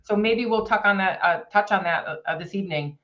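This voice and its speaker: A woman's voice: mid-range voice, brisk, a bit bright, dull audio. A woman chatting earnestly about a fundraiser she leads, often restarting her sentences. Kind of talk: casual chat, promoting a fundraiser.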